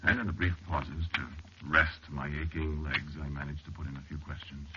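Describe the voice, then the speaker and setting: Very deep-voiced anxious explorer, vintage radio. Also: male voice, steady tone, pushed and bright, full character voice. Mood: brooding narration. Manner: halting, deliberate storytelling with dramatic pauses. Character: anxious, irritable male explorer recounting an eerie ordeal. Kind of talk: first-person 1940s radio horror narration and acting. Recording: noisy, muffled, clicky vintage radio recording.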